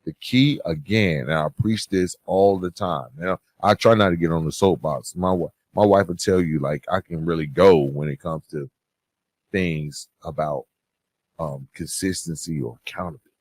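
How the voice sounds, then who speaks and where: A man hosting a podcast, giving motivational advice in an earnest, conversational, gently preaching way with emphatic pauses. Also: sits low, dry recording. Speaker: podcast host and author.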